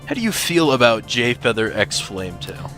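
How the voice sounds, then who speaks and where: A man voicing a playful tomcat warrior who reads out fan questions as announcer, teasing, goofy and deadpan by turns. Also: mid-range voice, normal speed. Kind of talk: improvised in-character fan Q&A. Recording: music behind the voice.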